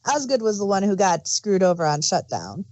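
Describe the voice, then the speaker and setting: A woman's voice: medium pitch, very animated, brisk, a little mellow, a little hiss or hum. A woman chatting casually as a podcast host, explaining background details like an enthusiastic fan. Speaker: audio drama review podcast host. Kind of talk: casual review chat.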